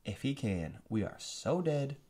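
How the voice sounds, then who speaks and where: A man's voice: medium pitch, sing-song, a little slow, dark tone, husky, voice pushed up. A man voicing a frightened, blunt teenage girl. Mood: anxious.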